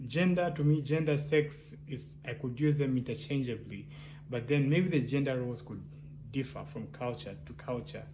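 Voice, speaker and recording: Soft-voiced man, noisy recording. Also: normal speed. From Uganda. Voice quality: soft, somewhat high-pitched voice. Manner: thinks aloud with restarts and tag questions. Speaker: clinical psychologist, co-host. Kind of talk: casual discussion. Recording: noisy, crickets and hum.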